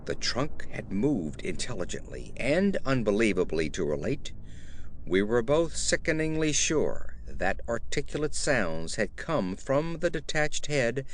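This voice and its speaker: A man narrating a horror story as a dramatic audiobook, in a measured, literary storytelling manner. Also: medium pitch.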